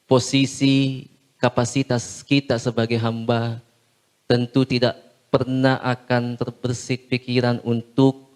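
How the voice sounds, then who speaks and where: Male Islamic preacher for a short Ramadan talk: measured, solemn, flat in intonation, clean resonant tone, pausing between phrases. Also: medium pitch. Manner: measured, solemn, phrase-by-phrase delivery with long pauses.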